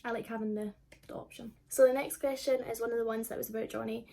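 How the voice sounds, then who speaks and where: A woman's voice: a little high, fairly even intonation, quick pace, creaky, warm tone. A twenty-year-old woman with a Scottish accent, chatting casually to camera as a vlogger in an informal, rambling way. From Scotland. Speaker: vlogger answering viewer questions.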